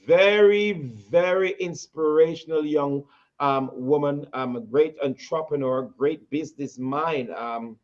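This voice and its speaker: A man's voice: fairly high pitch, unhurried, highs cut off. A man with a Jamaican accent hosting a talk show, interviewing a guest, stumbling and repeating words, laughing easily.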